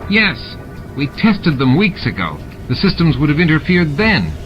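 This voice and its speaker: A man's voice: on the high side, wildly swooping pitch, moderate pace, muffled recording, voice pushed up. A man voicing a greedy, scheming alien bar owner who protests and evades in an agitated way, in a dramatized audiobook. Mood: defensive protest. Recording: music and sound effects behind the voice.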